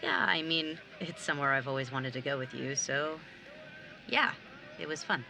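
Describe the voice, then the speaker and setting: A woman's voice: a bass voice, moderate pace, gravelly, pushed and bright, full character voice. A young woman playing a sarcastic, blunt nineteen-year-old younger sibling in a naturalistic audio drama. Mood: casual, guarded. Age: young adult. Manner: sardonic, blunt, casual.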